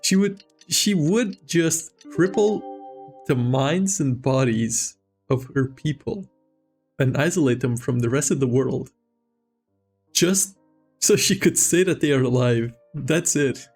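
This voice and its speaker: Mid-low male streamer, casually rambling. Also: slow pace, darkish tone, faint music behind. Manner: rambling, amused, blunt. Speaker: game streamer. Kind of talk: casual playthrough commentary.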